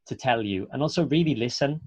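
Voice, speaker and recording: A man's voice: medium pitch, animated, fast, a little mellow, breathy edge, no room sound. A man from south Wales with a Welsh accent, an actor speaking in a chatty, earnest, encouraging way as he gives informal advice to students. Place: close dry online webinar call.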